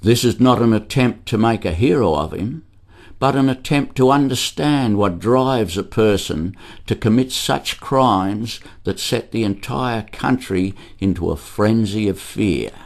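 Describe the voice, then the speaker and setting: Australian man, documentary narrator, slightly low voice, scripted historical storytelling delivery. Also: lively intonation, unhurried, creaky.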